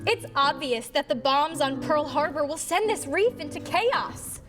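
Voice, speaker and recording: A woman acts a teenage schoolgirl fish from a stage musical. Her voice is extremely high, earnest and theatrically projected. Music plays clearly behind her. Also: measured pace. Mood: earnest, insistent.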